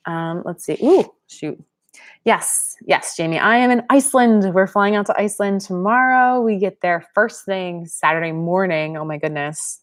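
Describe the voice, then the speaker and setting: A woman's voice: medium pitch, normal speed. A young woman from Pennsylvania hosting a live-stream Q&A, chatting casually and reading viewer comments aloud. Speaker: channel host.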